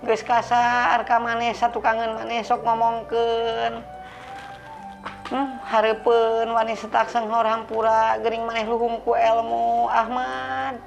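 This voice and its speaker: Man acting haughty older woman creditor, Sundanese accent, high pitch, blunt scolding, storytelling comedy, music behind. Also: monotone, slow, vocal fry, exaggerated voice. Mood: grudging, apologetic. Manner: scolding, blunt, coarse-worded. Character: haughty, sharp-tongued older woman creditor dunning debtors.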